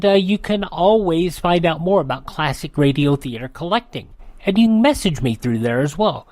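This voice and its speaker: A man's voice: sits high, no echo. An American man hosting a radio show, giving a conversational sign-off. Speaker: radio host.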